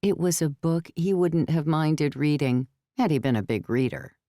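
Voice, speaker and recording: A woman's voice: deep voice, moderate pace, warm tone, dry recording, clean studio sound. A woman reading scripted third-person fiction narration as an audiobook narrator.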